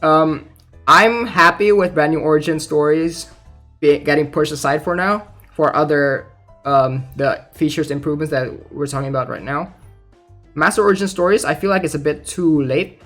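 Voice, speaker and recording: A young man giving casual, informal game news commentary as a YouTuber, thinking out loud in a hesitant way. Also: on the high side, normal speed. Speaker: gaming YouTuber. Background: music.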